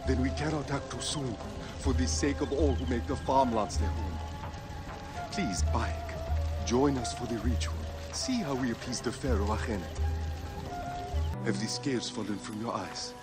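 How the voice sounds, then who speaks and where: An actor playing a fervent, devout priest of a heretical sun cult in a video game, earnest and pleading. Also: male voice, medium pitch, normal speed, loud music behind the voice, close to an everyday voice. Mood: earnest, urgent. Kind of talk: dramatic video game cutscene acting.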